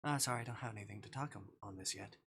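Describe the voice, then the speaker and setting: Streamer chatting while gaming, bright voice, kinda hesitant n playful, bit of background noise and clicks. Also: mid-range voice, rapid-fire, gravelly. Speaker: livestreamer. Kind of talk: casual live game stream chat, reading on-screen dialogue aloud.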